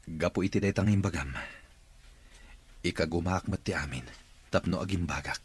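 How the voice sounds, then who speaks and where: A man's voice: low voice, normal speed, slightly rough. A man acting in a radio drama as an earnest, solemn male boss in recovery, with an Ilocano accent. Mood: grateful, hopeful.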